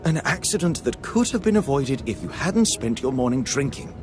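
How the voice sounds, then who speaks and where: An actor playing a proper, earnest gentleman in a mystery game, polite but easily flustered. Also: medium pitch, sing-song, moderate pace, music in the background, natural voice. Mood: indignant. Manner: earnest, polite, hesitant under questioning. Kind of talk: video game voice acting.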